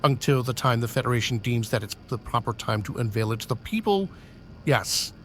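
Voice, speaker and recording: A man's voice: medium pitch, expressive, fairly quick, a bit bright, a little hiss or hum, lightly acted. A man playing a stern senior officer. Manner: clipped, commanding orders.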